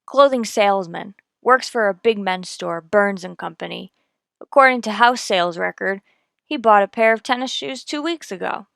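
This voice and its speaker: A woman's voice: medium pitch, normal speed, gravelly, fairly bright tone, dry recording, clean studio sound. A woman playing a no-nonsense, methodical police detective in a radio drama, clipped and matter-of-fact. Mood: businesslike. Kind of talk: old-time radio police drama, scripted narration and dialogue.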